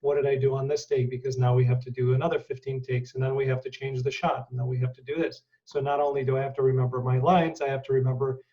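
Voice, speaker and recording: A man hosting an interview, affirming his guest and pausing often with fillers. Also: medium pitch, little pitch movement, mellow, distant mic, lo-fi sound. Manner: affirming, halting with many fillers. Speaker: interview host. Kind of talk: conversational interview.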